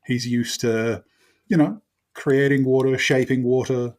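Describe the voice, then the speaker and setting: A man's voice: medium pitch, fairly even intonation, measured pace, a little mellow. A man chatting casually as a player in a tabletop game, wry and playfully formal in his wording. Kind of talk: casual improvised game chat.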